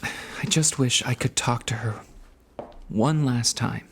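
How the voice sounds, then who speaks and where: An actor playing an anguished, driven escape artist in a stage musical, in speech and song. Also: male voice, medium pitch, takes it slowly, slight background noise. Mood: grieving, subdued. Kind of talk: musical theatre singing and dramatic acting. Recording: music under the voice.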